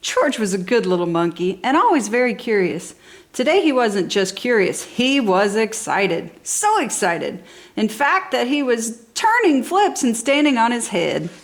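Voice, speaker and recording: A woman narrates a picture-book story for toddlers. Her pitch swings widely as she stresses key words with enthusiasm. The delivery is expressive and clearly aimed at small children. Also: unhurried. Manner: enthusiastic, animated, exaggerated emphasis for young children. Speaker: storybook reader for a toddler channel.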